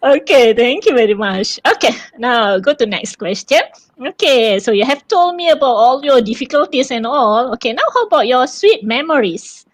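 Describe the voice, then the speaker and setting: Woman host on a video call, airy voice, bouncy pitch, encouraging, laughs easily. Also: animated, moderate pace. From Malaysia. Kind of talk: online talk show interview. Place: home or office room, video call.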